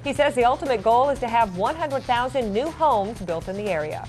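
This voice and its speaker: A woman's voice: a little high, lively intonation, a little fast, a little mellow, soft background music. A woman anchoring a newscast, reading scripted stories with light conversational asides. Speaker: TV news anchor.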